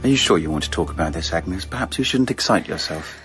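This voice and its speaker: Period gentleman, radio drama: deep male voice, animated intonation, solicitous and earnest. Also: fast talker, soft background music. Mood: concerned, grave. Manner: earnest, protective. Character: solicitous, excitable Victorian gentleman.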